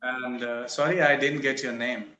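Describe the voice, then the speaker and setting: A man from India whose first language is Hindi, chatting on a video call in a halting way, full of pauses and tag questions. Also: mid-range voice, fairly even intonation, bright, forceful tone, a little hiss or hum. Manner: hesitant, many fillers and restarts, tag questions. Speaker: student on a language app. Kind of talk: casual video-call conversation.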